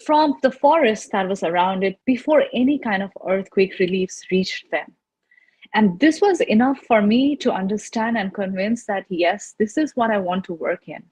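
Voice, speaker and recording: Female, 20s, Nepali accent, mid pitch, slightly husky; earnest youth speaker presenting on a video call. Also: a little mellow. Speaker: youth representative and panel speaker. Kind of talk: personal presentation to an online audience.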